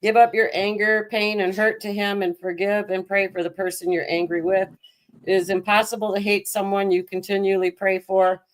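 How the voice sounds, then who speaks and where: A woman's voice: medium pitch, level tone, moderate pace, low-quality recording. A woman hosting a casual live stream, talking in a chatty, informal way. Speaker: show host.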